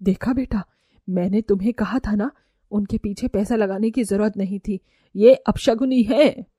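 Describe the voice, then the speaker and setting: A woman's voice: medium pitch, normal speed, mellow, slightly rough, no room sound, studio quality, close to an everyday voice. A woman voicing a bitter, reproachful middle-aged mother-in-law who blames others in a cartoon story. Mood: scornful.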